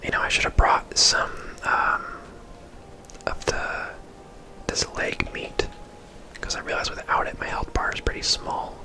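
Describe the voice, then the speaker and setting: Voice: a little slow, bright, forceful tone, low-quality recording. A person whispering casual, chatty game commentary in an ASMR style, rambling off into asides, close to the microphone. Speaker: video game streamer and host.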